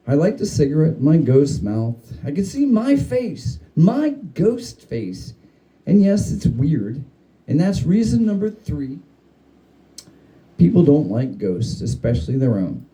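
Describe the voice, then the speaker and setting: Male story reader, theatrical deliberate phrasing, very soft dark tone, lively swooping intonation, wry spooky tale. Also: fairly high pitch, rough recording. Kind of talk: dramatic reading of a humorous spooky story.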